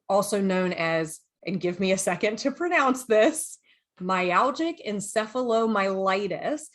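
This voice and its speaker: A woman from the United States hosting a podcast, chatting enthusiastically and sometimes reading from her notes. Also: mid-range voice. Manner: chatty, enthusiastic, lively asides. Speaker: podcast host.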